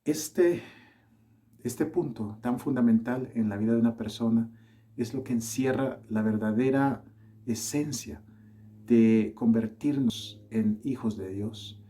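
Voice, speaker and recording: A man from El Salvador with a Salvadoran accent softened by Colombian touches, preaching a sermon in an earnest, measured way. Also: medium pitch.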